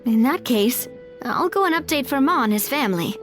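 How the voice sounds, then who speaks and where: A woman voicing a composed, polite young woman in a fantasy video game, speaking in an unhurried, expressive way. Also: sits high, soft background music. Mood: thoughtful.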